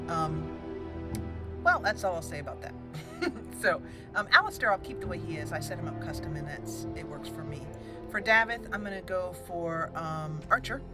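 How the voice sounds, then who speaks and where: Chatty woman narrating her gameplay. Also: on the low side. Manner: chatty, thinking aloud, laughs often. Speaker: gaming channel host. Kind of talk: casual let's-play commentary. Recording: voice-over over loud game music. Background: game music.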